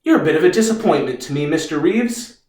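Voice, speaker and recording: A man performing as a cool military lawyer in a stage drama. He speaks deliberately, with cutting, probing condescension. The sound is very echoey and set back from the microphone. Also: on the high side, animated, darkish tone, natural voice. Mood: cold, probing. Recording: echoey room, distant microphone.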